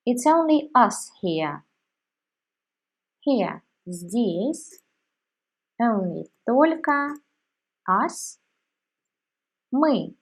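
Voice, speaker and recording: A woman teaching a language lesson, saying each word separately with long pauses. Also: a little high, sing-song. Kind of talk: slow, deliberate language lesson.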